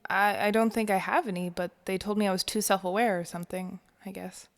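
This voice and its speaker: A woman's voice: mid-range voice, fairly even intonation, fairly quick, lightly acted. An actress playing a guarded, weary young woman in an audio drama, speaking hesitantly. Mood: uneasy, hesitant.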